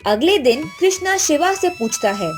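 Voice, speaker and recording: A woman's voice: high-pitched, sing-song, moderate pace. A woman narrator reading a scripted moral tale for a cartoon. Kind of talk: scripted children's story narration. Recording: music bed behind the voice. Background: background music.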